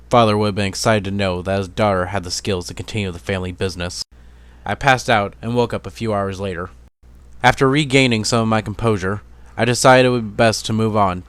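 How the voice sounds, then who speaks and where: Low, smooth male amateur story narrator. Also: a bit bright, no echo. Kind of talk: dramatic reading of fantasy fan fiction.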